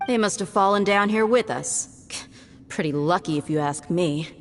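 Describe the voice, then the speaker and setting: A woman's voice: on the low side, normal speed, slight background noise, natural voice. An actress voicing a blunt, wry young female traveler in a video game, with a sardonic edge. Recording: game audio with reverb and faint music.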